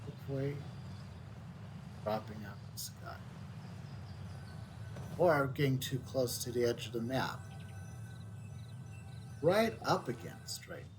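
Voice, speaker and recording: A man casually commenting on his game playthrough, thinking aloud in a relaxed way and reading out on-screen text. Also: medium pitch, slow pace, pauses often. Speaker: game streamer. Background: faint game music.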